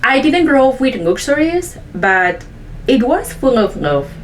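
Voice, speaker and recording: A woman's voice: high-pitched, sing-song, measured pace, slight background noise. Earnest woman, Mayan-speaking vlogger. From Chiapas, Mexico. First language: Chong (a Mayan language). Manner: earnest, simple sentences, careful non-native English. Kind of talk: reflective personal narration.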